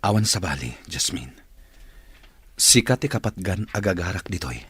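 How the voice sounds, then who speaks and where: An actor playing an earnest, courteous boss in an Ilocano radio drama, with a husky voice and an Ilocano accent. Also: male voice, low voice, very animated, moderate pace, a bit bright, exaggerated voice.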